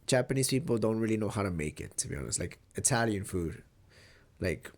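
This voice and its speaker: A man's voice: lowish voice, expressive, a little fast, a little mellow. A young man from Mexico talking casually in a vlog, with a stuffy, nasal voice from a cold, rambling and swearing easily. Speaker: vlogger.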